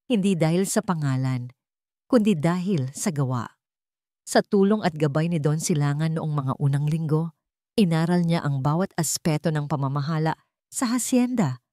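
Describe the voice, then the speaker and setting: A woman's voice: fairly low pitch, a little mellow, dry recording, studio quality. A woman reading a scripted dramatic story as its narrator.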